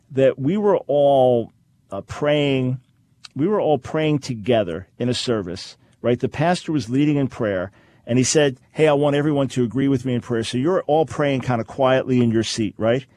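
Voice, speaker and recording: A man hosts a call-in radio program from a studio, his voice at an ordinary middle pitch. He talks callers through his answers in a measured, explanatory way, repeating key phrases for emphasis. The recording is close and dry. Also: darkish tone, no room sound. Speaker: radio talk show host. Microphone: studio microphone.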